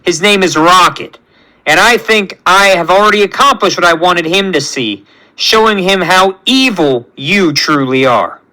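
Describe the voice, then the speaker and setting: A righteous male space adventurer and team leader, acted out by a male storyteller, bright and lively in pitch. He makes earnest, defiant declarations in a high-set voice. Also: unhurried, full character voice, poor audio. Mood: defiant, resolute.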